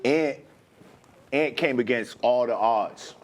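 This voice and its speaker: A man in animated casual sports banter, speaking emphatically in slang and repeating himself for effect as a show panelist. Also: medium pitch, takes it slowly.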